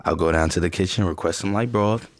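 A man's voice: low voice, quick pace, close to an everyday voice. A man playing a caring but guarded boyfriend, firm and evasive, in an audio drama. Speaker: actor in audio fiction. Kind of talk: serialized audio drama acting.

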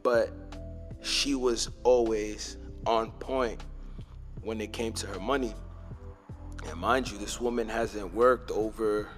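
A young man hosting a podcast, telling loose, slangy stories in a casual way and dropping into low asides. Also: steady tone, slow, pauses often, soft background music. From Montreal, Canada. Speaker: podcast host. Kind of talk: casual storytelling.

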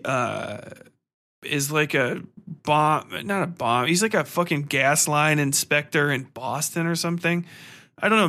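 Male podcast host, bright forceful voice, irritated and profane, casual review rant. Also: fairly high pitch. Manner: blunt, irritated, swears constantly.

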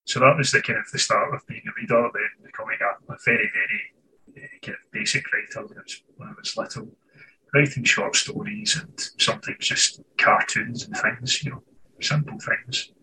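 A man's voice: lowish voice, fairly even intonation, moderate pace, far from the mic, noisy background. A Scottish man being interviewed over a video call from his writing office, thinking aloud and restarting phrases as he goes. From Scotland. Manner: thoughtful, restarts phrases while searching for words. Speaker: author guest.

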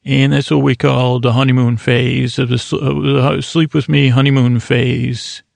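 A man hosting a bedtime podcast, talking in a meandering, halting way full of fillers and self-corrections, kept deliberately slow and mild so listeners can drift off. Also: medium pitch, level tone, vocal fry, no echo. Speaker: podcast host. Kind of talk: rambling bedtime podcast intro.

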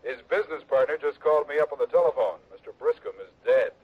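A man's voice: on the high side, lively intonation, fast talker, breathy edge. An actor playing an eager, chummy young insurance agent in his twenties who turns evasive, in a 1960s radio drama. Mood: friendly. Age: 20s. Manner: chummy, then evasive and hesitant. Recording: dull old radio broadcast.

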